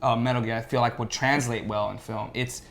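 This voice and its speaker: A man's voice: mid-range voice, steady tone, fairly quick, vocal fry, a bit bright. A man talking casually as a podcast guest, giving emphatic opinions in an informal way. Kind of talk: casual discussion.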